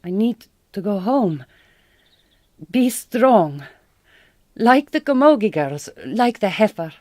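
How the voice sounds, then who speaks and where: A woman's voice: mid-range voice, sing-song, takes it slowly, darkish tone, no room sound. A Hungarian woman, played by an actress in a radio drama, speaks in a mid-range voice with a Hungarian accent. She is tender yet resolute, choosing her formal English with care. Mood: earnest, resolute. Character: resolute, tender Hungarian woman, bereaved migrant farm worker. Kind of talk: naturalistic radio drama acting.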